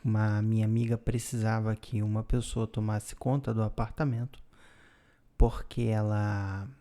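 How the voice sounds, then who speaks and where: A man, co-hosting a podcast and explaining things in a calm, casual way, often ending with a questioning tag. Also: fairly low pitch, moderate pace. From Brazil. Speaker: podcast co-host. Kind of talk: casual conversational storytelling.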